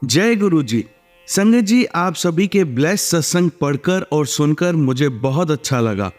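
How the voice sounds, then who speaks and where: A man's voice: on the high side, expressive, unhurried, darkish tone. Polite man closing, music behind. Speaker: channel host. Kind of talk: closing address to listeners. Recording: long reverb with music behind.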